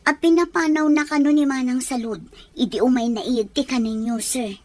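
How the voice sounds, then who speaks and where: A woman's voice: high-pitched, steady tone, normal speed, darkish tone, close to an everyday voice. A woman with a Filipino accent voicing a nervous, evasive house servant who stammers, in a radio drama. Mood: worried. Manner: hesitant, stammering. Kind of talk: Ilocano radio drama acting.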